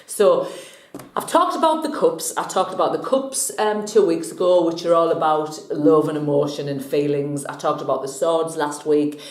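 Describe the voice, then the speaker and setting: A woman's voice: sits low, moderate pace, a little mellow, echoey room. Chatty woman with a slight cold. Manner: chatty and jokey, laughs often. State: has a cold, a bit scratchy. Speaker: vlog host, tarot reader. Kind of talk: casual tarot teaching vlog.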